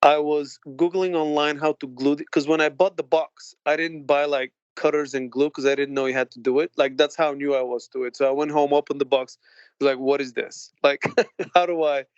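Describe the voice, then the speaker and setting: Late-30s guy from bulgaria chatting on a podcast, smooth voice, dull dry audio. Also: fairly high pitch, fairly even intonation, dry recording. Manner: chatty, laughs often, halting restarts. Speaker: guest. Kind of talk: casual interview chat.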